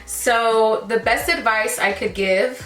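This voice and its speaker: A woman's voice: a little high, slow, fairly bright tone, reverberant, distant mic, soft background music, rough recording. A woman in her twenties chatting casually as she answers viewer questions about lash work, calling her viewers girl and sis. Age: 20s. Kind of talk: casual Q&A chat while sipping wine.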